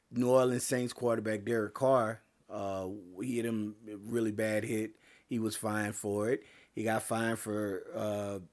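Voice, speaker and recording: A man hosting a sports podcast, talking casually with lots of fillers and restarts, his voice getting a little hoarse. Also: medium pitch. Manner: rambling, many fillers and restarts. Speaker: podcast host.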